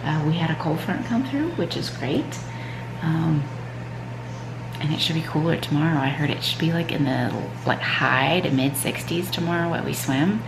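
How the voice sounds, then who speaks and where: A woman's voice: low-pitched, lively intonation, normal speed, roomy sound, background noise. A stuffed-up woman vlogging casually at home in the morning, rambling with lots of "um" and "like". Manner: rambling, frequent "um" and "like". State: stuffed-up nose. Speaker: vlogger, mom and triathlete. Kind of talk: casual chatty vlog. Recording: clear background music under voice.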